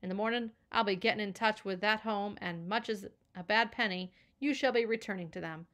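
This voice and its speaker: A woman's voice: mid-range voice, a little fast, a bit bright, lightly acted. A woman voicing a stern, haughty middle-aged foster mother who scolds in stiff, formal language. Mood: scolding. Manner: formal, scolding, pompous diction.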